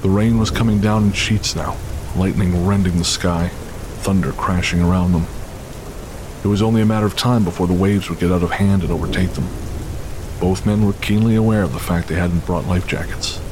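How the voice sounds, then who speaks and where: A man's voice: deep voice, steady tone, normal speed, noisy background. A man giving scripted, dramatic narration for an audio story. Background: music, rain and storm sound effects.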